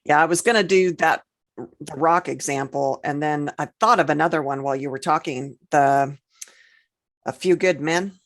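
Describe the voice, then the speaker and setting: A woman co-hosting a casual podcast discussion, talking haltingly as she thinks aloud. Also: deep voice. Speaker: podcast co-host.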